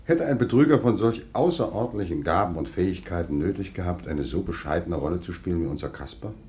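An actor playing a genial, reasonable older mayor in a radio play, formal, emphatic and conciliatory. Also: male voice, low-pitched, animated, fast, a little mellow, slight background noise. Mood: emphatic, persuading. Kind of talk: German radio play acting.

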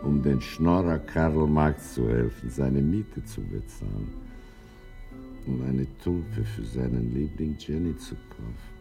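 An actor playing a weary, bitter bookseller who spins tall tales in a radio drama, halting and brooding with dramatic pauses. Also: male voice, mellow, soft background music, full character voice. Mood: animated, wry. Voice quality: very deep, gravelly.

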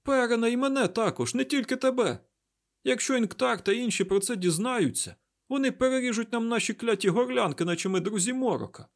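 A male narrator plays a hot-headed, jittery young man in a fantasy audiobook. The voice is pushed high and loud, sputtering and exclaiming with agitation. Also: animated, normal speed. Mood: panicked, angry. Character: panicky, hot-tempered young man, blurting and cursing.

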